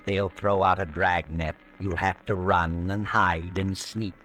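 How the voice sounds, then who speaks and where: An actor playing a sinister, taunting narrator in an old-time radio suspense drama, mocking and ominous like a menacing inner voice. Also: male voice, low-pitched, steady tone, measured pace, a bit bright, slight background noise, rough recording.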